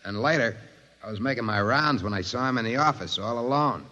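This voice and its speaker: A man plays a plain-spoken guard testifying in an old radio drama. His voice is bright and sharp, mid-pitched, in a long reverb. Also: fairly quick, natural voice. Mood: matter-of-fact. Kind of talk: 1950s radio drama acting. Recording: echoing hall, dull noisy old radio.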